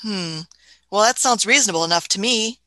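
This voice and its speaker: Woman playing a bright-voiced, canny, doddering doctor. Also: medium pitch, a little fast, dry recording, lightly acted. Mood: skeptical. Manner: hesitant, tutting, old-fashioned politeness with chuckles. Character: canny, doddering, politely skeptical traveling doctor. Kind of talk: radio play acting.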